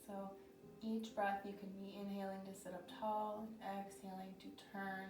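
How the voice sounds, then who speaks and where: A woman teaching a yoga class, giving gentle, steady cues with a slightly scratchy voice and clearing her throat now and then. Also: mid-range voice, normal speed. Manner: calm, gentle cueing with frequent throat clearing. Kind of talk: guided yoga instruction. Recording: roomy echo from a distant mic with background music. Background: soft music.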